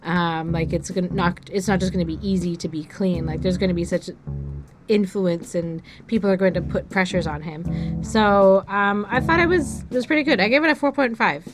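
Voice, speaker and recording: A woman's voice: lowish voice, moderate pace. A woman co-hosting a music podcast, chatting casually in loose, hesitant phrases peppered with filler words. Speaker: podcast co-host reviewing music. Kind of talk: casual chat giving opinions. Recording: music playing behind the voice.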